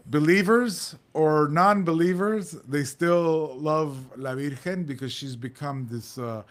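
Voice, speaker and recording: A middle-aged man, co-host of a community talk show, explaining things in an earnest, halting way. He restarts his sentences often and fills the gaps with "uh" and "you know." His voice sits at an ordinary middle pitch. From United States. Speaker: podcast co-host.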